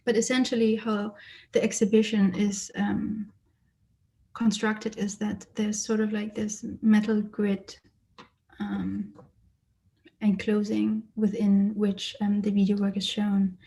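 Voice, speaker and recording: A woman who grew up in Germany, an artist giving a lecture about her exhibition and speaking thoughtfully with frequent pauses and fillers. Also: mid-range voice, monotone, warm tone, low-quality recording. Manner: hesitant, many fillers and restarts, thoughtful. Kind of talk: lecture presentation. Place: lecture or online presentation.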